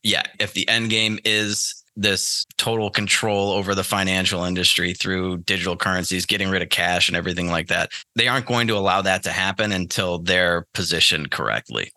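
A low-pitched man with a very bright, hard-edged voice, recorded dry and close. He is from the United States and speaks as a podcast guest in casual, informal talk, working out his thoughts as he goes. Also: fairly quick, hardly any pauses, no room sound. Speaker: podcast guest, trader and former institutional investor.

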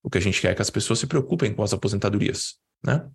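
A man's voice: fairly low pitch, animated, fast, gravelly, slightly rough. A man with a Brazilian accent giving an informal, didactic live lesson as a financial educator, repeating words for emphasis in a conversational way. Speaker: financial educator leading an online class.

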